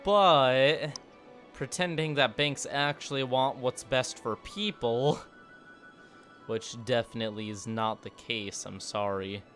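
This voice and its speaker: Man, streamer, casual sarcastic commentary, mid pitch, soft music behind. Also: slow pace. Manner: joking, sarcastic.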